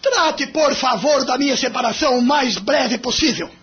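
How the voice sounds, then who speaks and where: A man's voice: extremely high pitch, normal speed, breathy edge, muffled recording, exaggerated voice. A man playing a curt, impatient wealthy businessman in an audio drama, speaking in a brusque, formal, dismissive way. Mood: irritated, dismissive.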